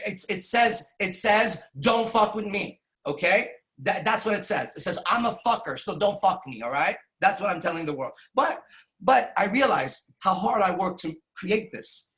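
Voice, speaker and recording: A man's voice: on the high side, a bit bright. A man in his forties telling a rambling, profane personal story, full of restarts and tag questions. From Iran. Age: 40s. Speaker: podcast host. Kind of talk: candid storytelling monologue.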